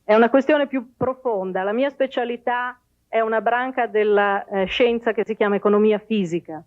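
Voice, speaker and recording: A woman's voice: fairly high pitch, fairly quick. A woman working as moderator and interpreter, translating in turns at a conference. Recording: thin, phone-like band-limited archive audio.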